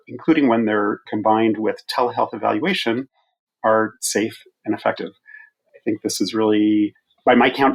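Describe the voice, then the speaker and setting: A male physician researcher talking through evidence in an interview, deliberate and stressing key words. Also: medium pitch, creaky, low-quality recording.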